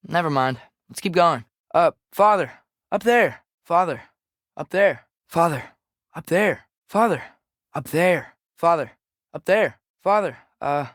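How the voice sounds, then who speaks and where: A man's voice: on the high side, fairly bright tone, no echo, studio quality, full character voice. A young male actor playing a curious, watchful teenage boy adventurer in a video game, giving short companion callouts. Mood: alert, prompting.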